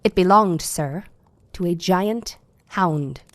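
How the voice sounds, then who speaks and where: A woman as an eccentric lady doctor, lowish pitch, dry close sound, earnest, deliberate, formal, audio play. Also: dry recording. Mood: earnest, worried. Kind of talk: audio drama dialogue.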